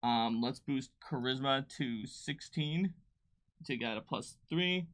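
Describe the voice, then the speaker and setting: A man's voice: medium pitch, takes it slowly, a bit bright, dry recording. A man hosting a livestream in casual chat, talking playfully as he thinks aloud through building a tabletop game character.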